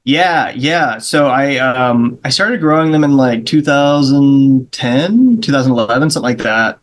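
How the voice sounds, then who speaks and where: A man's voice: mid-range voice, expressive, a little slow. A man from Charleston chatting as a podcast guest, rambling through anecdotes and acting out the other people in them. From Charleston, South Carolina. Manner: rambling anecdotes, acts out the voices of people in his stories. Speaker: guest comedian. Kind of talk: casual podcast chat.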